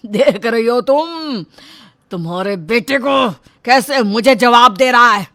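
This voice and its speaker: A woman's voice: on the high side, expressive, takes it slowly, bright, forceful tone, pitched above the performer's own voice, heavily acted. A woman voicing an angry, domineering middle-aged shopkeeper father-in-law in a moral story, scolding and accusing. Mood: menacing. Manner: scolding, accusatory, self-important. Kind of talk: acted dialogue in a moral story.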